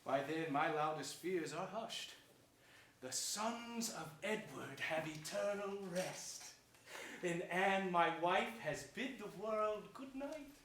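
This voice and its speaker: Scheming hunchbacked usurper, high voice, grand stage declamation, distant hissy recording. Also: male voice, expressive, slow, fairly bright tone, heavily acted. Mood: triumphant, resolute. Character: scheming, gloating, villainous hunchbacked royal usurper. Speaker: stage actor. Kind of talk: theatrical Shakespearean stage declamation. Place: theater stage before an audience. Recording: distant mic in echoey theater, hiss.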